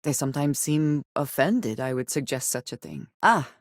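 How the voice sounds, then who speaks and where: Guarded female sci-fi game companion, gravelly edge, formal measured diction, devout and loyal, very dry close sound. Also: extremely low pitch, lively intonation, dry recording, heavily acted. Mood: guarded, composed. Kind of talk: video game character dialogue.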